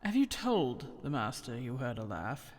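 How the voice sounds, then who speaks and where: A stolid, watchful servant woman in a gothic audio drama. She speaks low, measured and guardedly deferential, her words ringing in a reverberant hall. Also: sing-song, full character voice. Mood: probing, wary.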